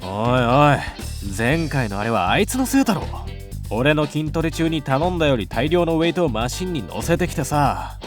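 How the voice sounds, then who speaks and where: A man voicing a brash, sporty, popular teenage schoolboy with rough, slangy boyish speech in an anime-style voice drama. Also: fairly high pitch, sing-song, normal speed, over music. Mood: indignant, amazed.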